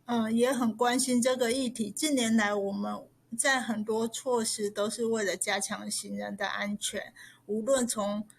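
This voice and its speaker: A woman's voice: a little high, little pitch movement, moderate pace, creaky, a little hiss or hum. A woman, a city official, answering an interview question carefully with some hesitant fillers.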